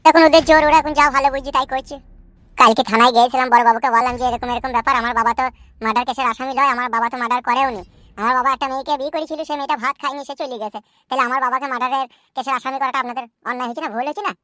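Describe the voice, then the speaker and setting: Shrill, rapid-fire village lad. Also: female voice, fairly even intonation, fairly bright tone, no echo. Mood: aggrieved. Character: cheeky, aggrieved young village lad. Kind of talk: comic cartoon dialogue in rural dialect.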